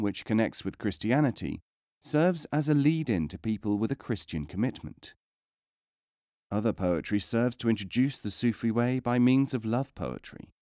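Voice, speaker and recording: A man reading non-fiction as a scripted audiobook narration, in a measured, formal way with careful enunciation. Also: on the low side, dark tone, no echo, studio quality.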